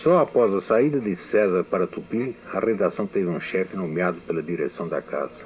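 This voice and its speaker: An older man reads his memoirs in a measured, recollecting way, his voice very deep. The sound is thin and tinny, like an old archival radio broadcast. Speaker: radio writer giving a testimony. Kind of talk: reading a memoir testimony.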